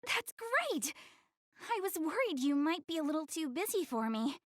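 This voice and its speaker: A woman's voice: high voice, sing-song, moderate pace, no room sound, studio quality, faint music behind. A woman playing a young goddess in an anime game dub, proud but prim and easily flustered, with a stammering, backtracking manner. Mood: relieved, friendly.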